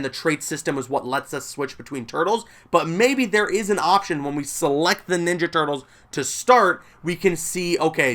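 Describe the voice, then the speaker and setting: A man's voice: fairly high pitch, fairly bright tone. A man hosting gaming news commentary in a casual, conversational and enthusiastic way, leaning on key words for emphasis. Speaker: gaming video host.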